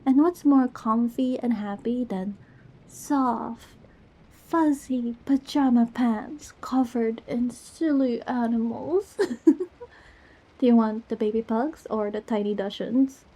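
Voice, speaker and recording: A woman's voice: a little high, takes it slowly, mellow. A woman playing a goofy, affectionate young girlfriend in an intimate ASMR roleplay, with a playful, teasing manner. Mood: playful, excited.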